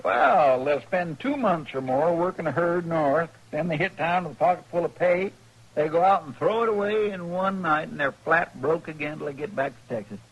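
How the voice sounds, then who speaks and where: An actor playing a naive, querulous frontier sidekick with a rural Western American accent in an old-time radio western. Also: male voice, a little high, moderate pace. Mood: puzzled, grumbling. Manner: folksy, querulous. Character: naive, fretful, folksy male frontier sidekick. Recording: noisy old radio recording.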